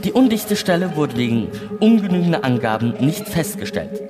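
Man as emotionless station computer, formal and precise, swinging intonation, radio play, loud music behind. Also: fairly high pitch, sing-song, normal speed. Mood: formal, impassive alarm. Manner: formal, bureaucratic, precise announcing. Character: emotionless space station computer reciting emergency instructions. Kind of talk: science fiction radio play reading. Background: music and sound effects.

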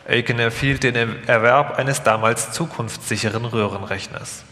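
A man's voice: medium pitch, normal speed, sharp tone. A man reading scripted documentary narration as a narrator in a live radio feature. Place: large reverberant hall. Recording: long hall reverb, live performance.

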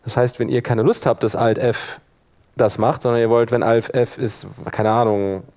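A man giving an informal live software tutorial as workshop instructor, thinking aloud while he demonstrates. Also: medium pitch, fairly even intonation, moderate pace.

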